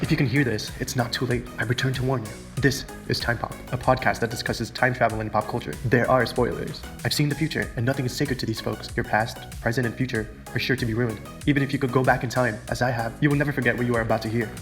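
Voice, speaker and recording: A man's voice: mid-range voice, steady tone, fast talker, few pauses, over music, natural voice. A man playing a grave time traveler who delivers a scripted warning about the future in a podcast intro. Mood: ominous warning.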